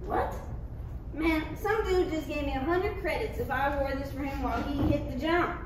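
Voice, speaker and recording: A woman voicing an indignant, rambling drunk man with slangy, drawn-out protests in a comedy table read. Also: high voice, natural voice. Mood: rambling, relieved. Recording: distant, echoey, noisy room recording.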